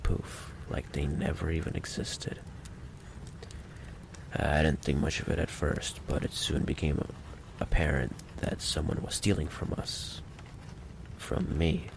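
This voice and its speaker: A man playing a menacing, possessive mafia boss in an ASMR roleplay monologue, with a low, gravelly voice and a deliberate, coolly condescending delivery. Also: steady tone, noisy background, full character voice. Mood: cold storytelling.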